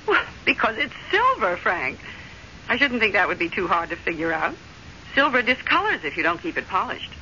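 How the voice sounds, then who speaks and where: Sharp, house-proud American wife. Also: female voice, medium pitch, very animated, normal speed. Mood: brisk, impatient. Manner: clipped, chiding, exasperated. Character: prim, stubborn, house-proud wife, quick to take offence. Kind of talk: old-time radio drama acting. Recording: muffled, noisy old radio recording.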